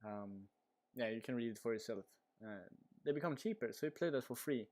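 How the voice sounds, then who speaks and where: A man's voice: medium pitch, lively intonation, a little fast, darkish tone. A man with a Swedish accent giving casual live commentary on a card game stream, rambling with lots of fillers. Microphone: headset.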